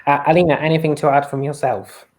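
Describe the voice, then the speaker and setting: A man's voice: a little high, steady tone, quick pace, a little mellow. A man hosting a podcast panel over a video call, upbeat and a little stumbling. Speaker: podcast host.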